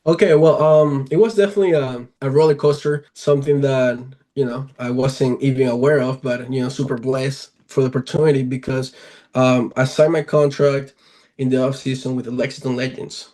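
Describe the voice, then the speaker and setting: A young man with a Latin American accent speaks casually, as in a sports interview. His pitch is medium and stays very even, with little rise and fall. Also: fairly quick. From Venezuela. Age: 20s. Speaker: professional baseball player, interview guest.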